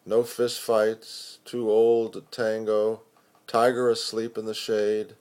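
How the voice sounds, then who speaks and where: A man giving an expressive poetry recitation with measured, deliberate phrasing. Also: fairly low pitch. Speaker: poetry reader.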